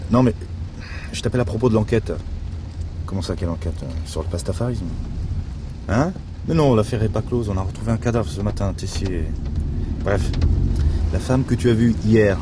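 Man acting male cop, low pitch, earnest, defensive, hesitant, colloquial, naturalistic podcast drama, clear car and street noise. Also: lightly acted. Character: Karim, emotional, earnest male police investigator, defensive Pastafarian sympathiser. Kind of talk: naturalistic audio fiction dialogue.

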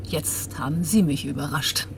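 A woman's voice: deep voice, normal speed, vocal fry, noisy background. An actress playing a composed woman who politely questions a man in a radio play. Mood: probing, polite. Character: composed, probing female listener questioning a man.

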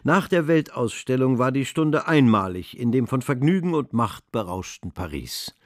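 An actor narrating a historical radio drama as an observant, literary storyteller in measured phrases. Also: male voice, medium pitch, animated, no room sound, close to an everyday voice. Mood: measured, vivid. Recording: studio radio play, music behind.

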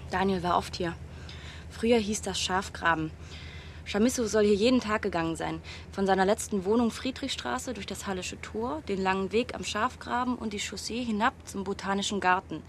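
A young woman in a radio play, acted by a woman, with a medium-pitched voice over faint ambient noise. She speaks informally in clipped, conversational phrases, sounding tense and grieving. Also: normal speed, lightly acted. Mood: reflective. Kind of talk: naturalistic radio drama dialogue.

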